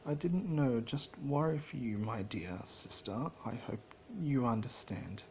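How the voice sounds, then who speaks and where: A man's voice: medium pitch, unhurried, dark tone, a little hiss or hum, close to an everyday voice, poor audio. A man voicing a wary, skeptical mare who talks formally to a sister in a storybook reading. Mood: worried.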